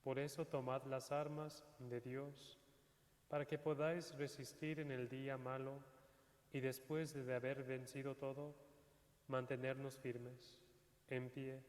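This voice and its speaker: A man, a priest, reads a scripture prayer in a measured, solemn way inside a church. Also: mid-range voice, darkish tone. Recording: church reverb.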